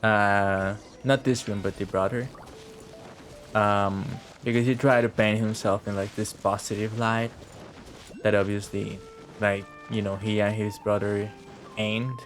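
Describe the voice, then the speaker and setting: Low-voiced man rambling over a game. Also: level tone, a little slow, faint music behind. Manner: rambling, thinking aloud. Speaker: game streamer. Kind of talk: casual live game commentary.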